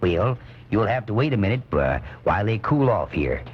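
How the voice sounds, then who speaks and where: A man's voice: fairly low pitch, big pitch swings, normal speed. A man playing a genial baker in a comic radio play, folksy and a little hesitant as he presents himself, on dull cassette. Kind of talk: radio comedy skit acting. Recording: dull, hissy off-air cassette recording.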